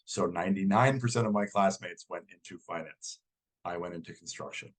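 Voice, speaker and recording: A man in his forties from New York, slightly croaky from a cold, giving a talk over a video call with frequent restarts. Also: deep voice, a little fast. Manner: hesitant, many restarts. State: hoarse from a cold. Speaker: guest speaker. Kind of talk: casual presentation.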